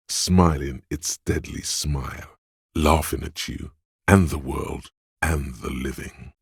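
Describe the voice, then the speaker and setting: A man voicing a watchful, detached inner sense of perception in a game, describing details in a slow, measured way with a deep, husky voice. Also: no room sound, studio quality, heavily acted. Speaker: voice actor for a game character. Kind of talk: scripted game voice lines.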